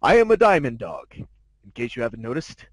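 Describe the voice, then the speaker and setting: A man's voice: mid-range voice, huge pitch swings, fairly quick, no echo, pitched below the performer's own voice. A man voicing a snobby, sardonic diamond dog who narrates his life story in a haughty, jaded, wry manner. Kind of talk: dramatic fan fiction narration.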